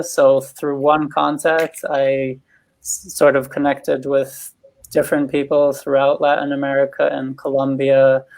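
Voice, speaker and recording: A man's voice: medium pitch, gravelly, darkish tone, poor audio. A man from Switzerland, founder of the group, telling a rambling story on a video call with frequent self-corrections. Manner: rambling, self-correcting, pace swinging fast and slow. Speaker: founder and host. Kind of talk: casual storytelling on a webinar.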